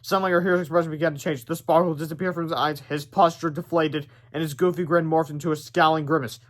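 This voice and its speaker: A man with a fairly high voice narrates a children's book. He tells it dramatically, stumbles on a word here and there, and drops in off-the-cuff asides. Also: fairly even intonation, fast talker, a bit bright. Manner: dramatic storytelling, stumbles over words. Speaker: read-aloud narrator. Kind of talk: animated children's book read-aloud with asides.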